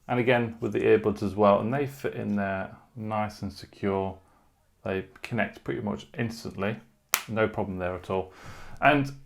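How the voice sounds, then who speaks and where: A man's voice: mid-range voice, vocal fry. A man talking casually through a product unboxing and review in an informal way, with short asides.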